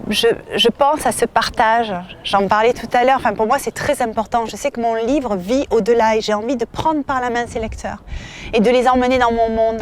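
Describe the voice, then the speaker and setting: Higher-voiced woman telling a story. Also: slight background noise. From southern France. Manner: lively storytelling, with fillers and restarts. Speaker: novelist. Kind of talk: casual interview answers. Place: outdoor festival.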